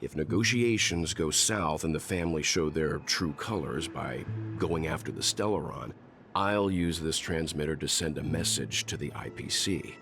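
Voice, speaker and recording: An actor playing a calm, seasoned older man, a protective mentor speaking formally and deliberately in a video game. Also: extremely low pitch. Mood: suspicious, deliberate. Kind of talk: scripted video game dialogue. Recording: game audio with music underneath. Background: game music.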